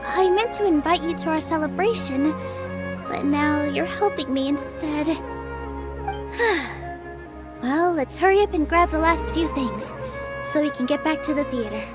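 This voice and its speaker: A woman playing a gentle, modest young theater dancer in a video game, with a polite, friendly manner. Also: high-pitched, expressive, unhurried. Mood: apologetic. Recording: game dialogue over clear background music. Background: music.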